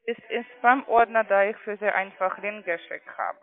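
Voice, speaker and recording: A man's voice: sits high, normal speed. A non-native speaking man working as a call centre agent, making a sales call in ungrammatical phrasing. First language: not German. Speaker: call centre agent selling trading accounts. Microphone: phone call. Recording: narrow muffled telephone line.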